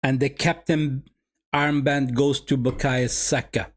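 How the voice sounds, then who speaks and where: A man's voice: mid-range voice, flat delivery, unhurried. A man whose first language is Somali, running a live football watch-along and calling the game for his viewers, often repeating his phrases. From Sweden.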